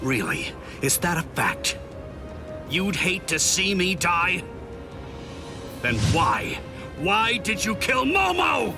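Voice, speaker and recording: A man voicing a defiant, hot-blooded young warrior in an anime game, emotional and halting in delivery. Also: high voice, huge pitch swings, creaky, exaggerated voice. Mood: anguished, angry. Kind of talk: English voice acting for anime game cutscene. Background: loud music.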